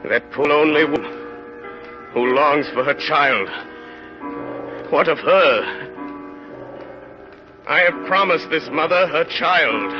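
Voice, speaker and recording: An actor playing a guilt-tormented ex-convict mayor in halting, brooding 1930s radio drama style. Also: male voice, fairly high pitch. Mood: anguished, agitated. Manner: halting, brooding soliloquy in short fragments. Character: guilt-tormented male ex-convict mayor wrestling with his conscience. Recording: muffled vintage 1930s radio recording.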